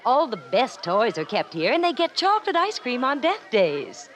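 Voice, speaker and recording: Brisk, officious hospital attendant. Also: female voice, a little high, huge pitch swings, fairly bright tone. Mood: brisk, cheerful. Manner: matter-of-fact, officious. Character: brisk, callously cheerful hospital attendant woman. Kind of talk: 1950s radio drama acting. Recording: vintage radio recording with faint noise.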